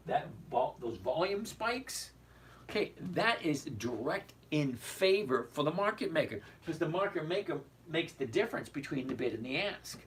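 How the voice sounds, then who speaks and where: A man hosting a casual stock-trading livestream, his voice hoarse and cracking as he chats with viewers and keeps asking "okay?". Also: on the high side. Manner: chatty, repeats "okay?", answers viewers. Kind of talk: casual livestream market commentary. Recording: distant mic with faint background noise.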